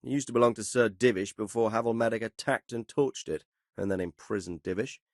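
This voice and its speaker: Man playing a measured, commanding medieval lord, dry studio sound. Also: on the low side, darkish tone, no echo, natural voice. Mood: informative. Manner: authoritative, measured, formal, wryly sardonic. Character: stern, dry-witted medieval lord commanding his men. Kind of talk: video game dialogue acting.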